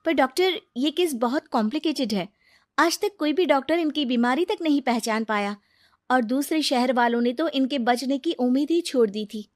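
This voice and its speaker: A woman voicing a brisk, respectful young hospital nurse in a narrated story. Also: high voice, lively intonation, pitched above the performer's own voice. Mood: worried.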